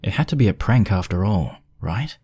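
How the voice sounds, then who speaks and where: A man's voice: low voice, expressive, normal speed, mellow, slightly rough, no echo, studio quality. A man reading scripted narration for a spooky fan fiction story.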